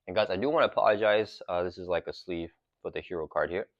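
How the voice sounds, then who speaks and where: A man's voice: sits low, fast talker, no echo, muffled recording. A man hosting his channel, chatting casually through an unboxing of a card game with lots of fillers, his throat sore and a cough drop in his mouth. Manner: relaxed, rambling, many fillers. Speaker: channel host.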